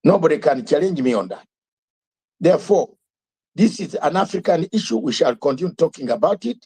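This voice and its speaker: An older man with a high, husky voice drives his live talk show with fiery commentary. He repeats himself for emphasis and throws out rhetorical questions one after another. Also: animated, brisk, mellow.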